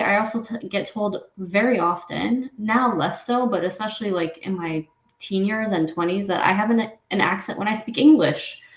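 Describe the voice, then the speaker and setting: A woman in her thirties from New Jersey with a New York–New Jersey accent, hosting an interview and asking questions in a hesitant, rambling way with many ums. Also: medium pitch. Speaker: podcast host. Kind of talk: interview chat. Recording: echoey room.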